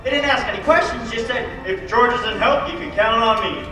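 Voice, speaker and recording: A man's voice: very high-pitched, fairly quick. A teenage male student acting in a 1940s-style radio play, delivering lines as townsfolk and announcer. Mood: delighted, gossipy. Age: teens. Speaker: student theater performer. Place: live stage performance in a hall. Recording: echoey hall, distant mic, music behind.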